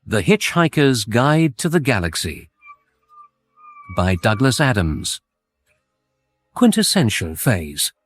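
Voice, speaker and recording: A man giving a scripted, formal, deadpan radio announcement. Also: mid-range voice, slow, pauses often, faint music behind.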